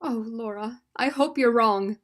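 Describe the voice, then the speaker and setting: A woman's voice: on the high side, a little slow, lightly acted. A student actress playing an anxious, doubtful married woman with earnest melodrama in a vintage radio mystery. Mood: doubtful, uneasy. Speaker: student actor.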